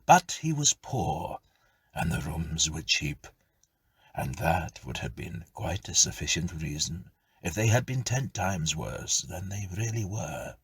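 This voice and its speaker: A man narrating a Victorian ghost story as a dramatic storyteller, measured and suspenseful, sometimes dropping to a hush. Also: low voice, big pitch swings, slightly rough, dry recording.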